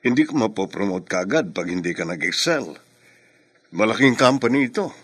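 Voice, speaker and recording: A Filipino man playing a businesslike corporate boss in radio drama, formal and courteous with measured authority. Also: fairly low pitch, big pitch swings, a bit bright, husky, exaggerated voice. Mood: firm. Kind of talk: Tagalog radio drama acting, mixing in English.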